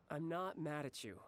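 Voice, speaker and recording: A charming protective big brother, smooth, lively tone, game music. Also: male voice, on the high side. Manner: smooth, affectionate, gently scolding. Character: charming young man, doting older brother.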